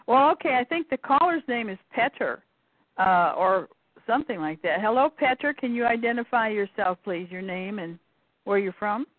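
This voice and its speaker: A woman's voice: medium pitch, animated, moderate pace, dry recording. A woman hosting a call-in radio show, asking questions and introducing callers conversationally. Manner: conversational, brief prompts. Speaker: radio host. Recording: tinny phone-band audio.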